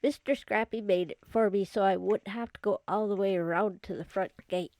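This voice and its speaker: A woman's voice: medium pitch, steady tone, moderate pace, darkish tone, close to an everyday voice. A woman playing a troubled, apologetic streetwise character in an old-time radio drama, speaking in a subdued way.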